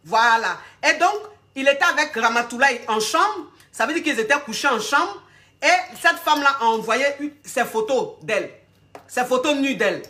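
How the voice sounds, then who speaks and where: A woman with a cold tells a long story straight to her viewers, repeating key phrases and checking that they follow. Her voice sits a bit high and sounds bright and forward. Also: animated, moderate pace. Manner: repetitive, emphatic, addresses viewers directly. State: has a cold. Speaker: video host telling a story.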